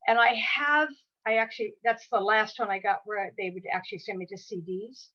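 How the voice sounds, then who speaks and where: A woman's voice: mid-range voice, steady tone, a little fast. A woman in her sixties, a guest author talking casually over a video call, chatty and rambling with many restarts. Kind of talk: casual conversation. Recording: dull lo-fi audio.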